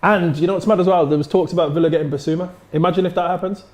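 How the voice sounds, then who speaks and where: He is an English man with a fairly high voice and very quick delivery. His talk is casual and slangy, the chatter of a fan on a live football watchalong. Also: fairly even intonation, a little mellow. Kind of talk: casual slangy match chat.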